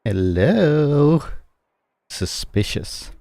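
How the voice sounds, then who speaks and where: A man giving casual, halting, wry commentary as he plays a video game. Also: fairly high pitch, very animated, gravelly, warm tone, no room sound, studio quality. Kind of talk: casual game commentary, sometimes reading dialogue aloud. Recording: game music behind the voice. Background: game music.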